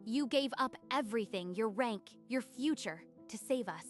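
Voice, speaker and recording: A woman's voice: on the high side, normal speed, soft background music, natural voice. A woman playing a grateful, awed sister in a scripted dramatic dub, earnest and emphatic. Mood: awed gratitude.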